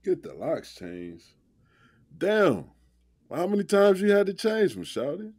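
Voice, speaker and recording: An actor playing a laid-back, protective young man in an audio drama, talking in slang with drawn-out, incredulous repeats. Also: high voice, wildly swooping pitch, a little mellow, husky, dry recording, studio quality, faint music behind, heavily acted.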